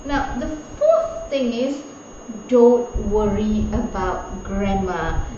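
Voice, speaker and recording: Malaysian lady english teacher, slow and bouncy high voice, super echoey room, kinda dull sound. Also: expressive, warm tone, slight background noise. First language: Tamil. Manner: encouraging, repeats key points, laughs often. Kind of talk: online language lesson.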